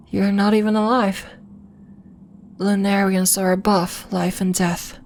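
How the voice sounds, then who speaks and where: A woman playing a serene, solemn alien moon healer in an ASMR roleplay, speaking soothingly in formal, deliberate phrases. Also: medium pitch, a little mellow, slight background noise. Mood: calm, assured. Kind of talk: ASMR roleplay, soft scripted monologue addressed to listener.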